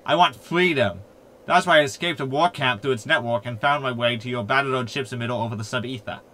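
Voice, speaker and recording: A man's voice: mid-range voice, fast, fairly bright tone, close to an everyday voice. A man playing a cheeky, insulting, rebellious hologram in a sci-fi comedy audio drama, exclamatory and mocking. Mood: indignant.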